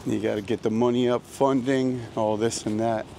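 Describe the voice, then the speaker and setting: An American man rambles casually through a walking vlog in an easygoing, slangy way. His mid-pitched voice is a little raspy, as he is getting over being sick. He speaks into a handheld mic outdoors, with clear street noise around him. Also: little pitch movement, moderate pace, mellow. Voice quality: slightly raspy. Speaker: vlogger hosting a walking tour. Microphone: handheld microphone. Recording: noisy outdoor street ambience.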